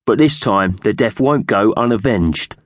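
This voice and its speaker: A man's voice: fairly low pitch, animated, measured pace, no echo, voice pushed up. A man voicing a sleek, cruel magpie gang boss in a children's storybook, solemn, commanding and hard-edged. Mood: menacing, vengeful.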